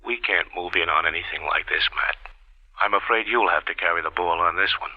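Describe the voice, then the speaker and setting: An actor playing a terse federal agent heard through a telephone filter in a muffled old radio broadcast. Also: male voice, low-pitched, brisk. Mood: curt. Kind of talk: 1950s radio acting.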